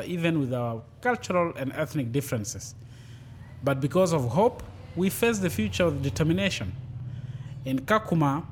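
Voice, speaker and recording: A man living in a refugee camp, reading a prepared statement in a measured, solemn way. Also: a little high, a little hiss or hum. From Kenya.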